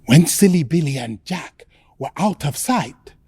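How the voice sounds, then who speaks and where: A woman narrates a children's story with theatrical flair. Her voice is soft-edged and swoops expressively, yet she takes her time, leaving deliberate pauses. The sound is close and dry. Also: sits low, expressive, slightly rough, no echo. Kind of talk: pantomime bedtime storytelling for children.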